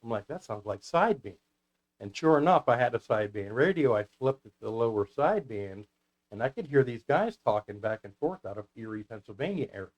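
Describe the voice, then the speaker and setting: A man's voice: mid-range voice, low-quality recording. Man, American accent, casual interview guest, chatty storyteller, mid-range voice. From United States. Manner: chatty, anecdotal. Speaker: guest, CB radio club founder.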